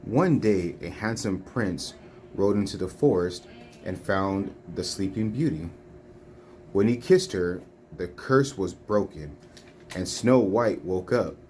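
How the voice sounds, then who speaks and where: Low, slow woman reading storybooks. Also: darkish tone. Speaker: storybook reader. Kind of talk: children's read-along narration. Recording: faint background noise, home recording.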